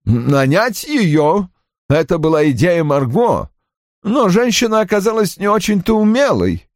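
A man's voice: high voice, sing-song, unhurried, dry recording, clean studio sound, pitched above the performer's own voice, exaggerated voice. A man voicing a bitter, brusque older father in an audiobook, irritable and defensive, with cold, emphatic delivery. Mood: cold, dismissive. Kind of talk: audiobook character acting.

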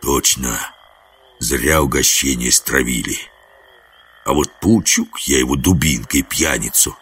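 Man voicing a folk-hero warrior, husky, animated pitch, hearty, wily, rustic, audiobook tale. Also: measured pace. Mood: grumbling. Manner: rustic, colloquial, coaxing.

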